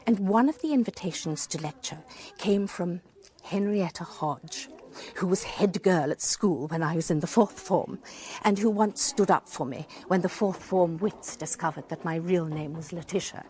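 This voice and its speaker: A woman's voice: on the low side, normal speed, darkish tone, close to an everyday voice. An actress playing a wry, self-deprecating middle-aged British lady psychologist, narrating a radio drama in a chatty, confiding way. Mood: chatty, amused. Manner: chatty, dryly humorous, confiding.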